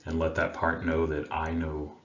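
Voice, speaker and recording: A man with a remarkably deep voice leads a guided meditation. He speaks slowly and soothingly, leaving long pauses between gentle phrases. The sound is roomy and a little muffled. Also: monotone. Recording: roomy, dull sound with faint background noise.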